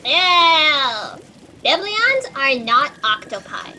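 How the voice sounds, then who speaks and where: Squeaky, fussy little fact checker creature. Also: female voice, big pitch swings, sharp tone, exaggerated voice. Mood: fussy, eager. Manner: halting note-to-self speech, fussy, pedantic. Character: flustered, literal-minded fact checker creature, Fran, eager and fussy. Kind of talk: sci-fi satire audio drama acting. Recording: noisy home video-call audio.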